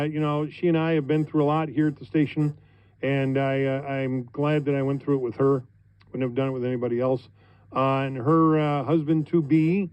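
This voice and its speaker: A middle-aged man with an American accent, a radio host talking on air in an informal, conversational, halting way. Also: a little high, clean tone, dry recording, lo-fi sound. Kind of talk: informal on-air thank-you speech. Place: radio studio.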